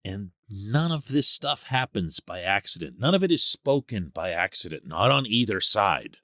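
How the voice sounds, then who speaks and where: A man hosting a sports podcast, giving conversational solo commentary with emphatic, deliberate phrasing and dramatic pauses. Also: fairly low pitch, big pitch swings, sharp tone, dry recording, studio quality.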